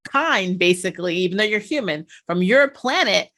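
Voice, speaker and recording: A woman's voice: mid-range voice, animated, moderate pace, fairly bright tone. A woman chatting casually and animatedly as she hosts a discussion reviewing a TV show.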